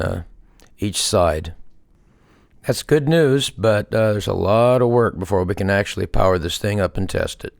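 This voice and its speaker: A man's voice: lowish voice, creaky, darkish tone, no echo, studio quality. A man giving a casual, unscripted show-and-tell as a hobbyist presenter, hesitantly thinking aloud as he goes. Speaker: hobbyist restorer presenting a project.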